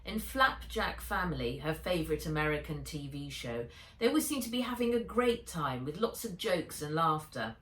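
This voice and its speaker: A woman reading her own children's novel aloud as narrator, in measured, expressive storytelling. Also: deep voice. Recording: roomy echo, distant microphone.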